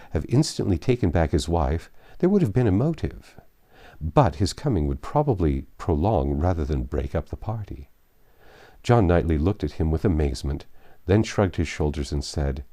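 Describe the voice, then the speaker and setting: A male narrator reads classic fiction in a measured storytelling style. His voice is low and relaxed in tone. The intonation is animated and varied, shaping each phrase expressively. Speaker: audiobook narrator. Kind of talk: expressive scripted literary narration.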